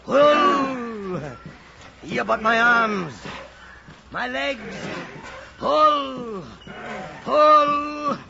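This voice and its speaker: A slow-paced, gravelly old whaling captain in a vintage radio play. He is defiant and obsessed, declaiming grandly and commandingly. The recording is dull and noisy. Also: extremely high pitch, full character voice. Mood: straining, frantic. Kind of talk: old-time radio drama acting.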